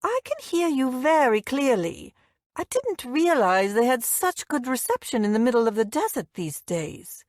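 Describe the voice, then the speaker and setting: A woman's voice: sits high, very animated, normal speed, a little mellow, no room sound, studio quality, pitched above the performer's own voice. A woman voicing a wealthy, overbearing mother in an audiobook, with an airy, cutting, theatrical manner. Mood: brisk, cutting. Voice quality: trilling, crisp. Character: posh, overbearing wealthy mother, shrill and breezily insistent. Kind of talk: audiobook character dialogue.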